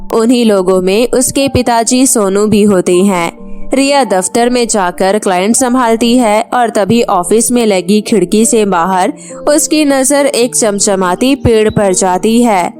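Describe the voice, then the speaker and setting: A woman's voice: medium pitch, unhurried, darkish tone, faint music behind. A woman storyteller reading scripted narration for a children's moral tale.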